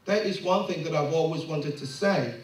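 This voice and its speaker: A man's voice: a little high, normal speed. A man speaking earnestly about raising children, with rising and falling emphasis. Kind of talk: impassioned monologue. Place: London. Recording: very echoey room, voice far from the mic.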